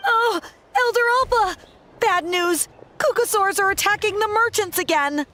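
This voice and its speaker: Young game messenger, urgent, piercing high voice, faint noise behind. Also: female voice, moderate pace, pushed and bright. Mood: alarmed. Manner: urgent, exclaiming. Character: alarmed young tribe messenger woman. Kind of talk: video game voice acting.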